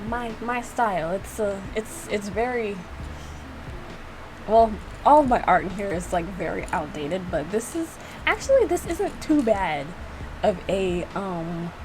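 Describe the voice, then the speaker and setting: A woman's voice: mid-range voice, background noise. A young adult woman giving casual, self-mocking reaction commentary, often repeating her phrases as she goes. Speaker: gaming reaction video creator. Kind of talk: casual reaction commentary with lines read aloud.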